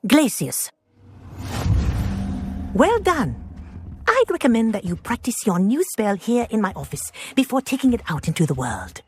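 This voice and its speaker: A woman playing an encouraging flying professor in a fantasy video game, speaking in a measured, formal, instructive way. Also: a little high, big pitch swings, noisy background. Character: encouraging female flying professor at a wizard school. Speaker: game voice actor. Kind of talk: video game character dialogue.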